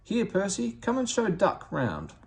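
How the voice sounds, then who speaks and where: A man voicing an authoritative but kindly railway boss in a storybook, brisk and commanding. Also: high voice, huge pitch swings, gravelly, breathy edge, pitched above the performer's own voice, full character voice. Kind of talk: storybook character voice.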